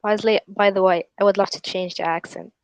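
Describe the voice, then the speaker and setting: A woman in a casual group video call, asking earnest questions in a slightly halting way. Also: medium pitch, steady tone, clear, resonant tone, no echo. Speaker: community member asking for advice.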